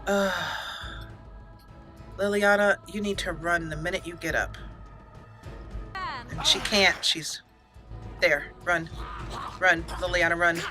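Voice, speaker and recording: Bright-voiced woman narrating her gameplay. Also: on the low side, slow pace, long pauses. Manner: chatty, giggly, self-mocking sarcasm. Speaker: let's play host. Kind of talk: casual gameplay commentary. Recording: faint game music behind. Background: game music.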